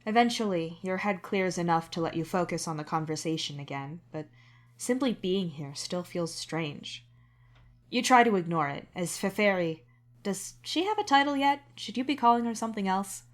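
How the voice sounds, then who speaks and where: A woman with a slightly low voice narrates an audio story. Her reading is introspective and expressive, as if thinking the character's thoughts through. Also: normal speed. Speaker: narrator and author reading own fan fiction.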